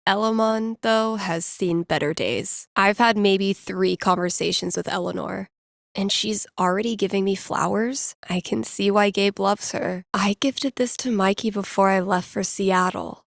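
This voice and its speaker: A woman's voice: medium pitch, unhurried, gravelly, no echo, studio quality. A woman voicing a wry, geeky young woman in a video game, with a casual, sardonic and playful manner. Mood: wry, musing. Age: young adult. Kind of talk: naturalistic game voice acting.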